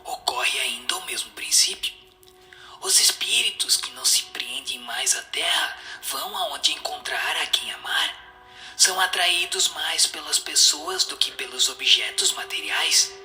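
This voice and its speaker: A man's voice: on the high side, big pitch swings, measured pace, sharp tone, whispered. A man with a Brazilian accent giving answers in a solemn scripted, dramatized reading. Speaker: reader of the answers. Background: music.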